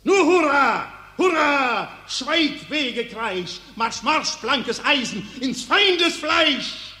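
An actor playing a bombastic war poet, declaiming patriotic verse with exalted martial fervour in an old radio play. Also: male voice, very high voice, lively intonation, extremely slow, bright, forceful tone, full character voice. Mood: martial frenzy. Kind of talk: mid-century German radio drama, verse declamation. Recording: old radio play, echoing lecture hall.

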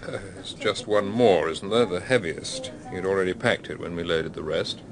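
An actor playing a sceptical, plain-spoken gentleman in a radio drama, dry and questioning. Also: low voice, moderate pace, crowd noise. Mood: mild, dry.

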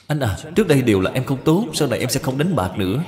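Cartoon role: reforming young gambler male actor, apologetic, pleading, animated intonation, echoey hall sound. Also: mid-range voice, racing, close to an everyday voice. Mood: contrite. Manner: pleading, contrite. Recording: long hall reverb.

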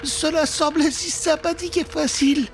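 Man putting on a high, terrified little girl. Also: steady tone, moderate pace, soft background music. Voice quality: high, thin. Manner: stammering, fearful. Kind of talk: theatrical children's horror storytelling.

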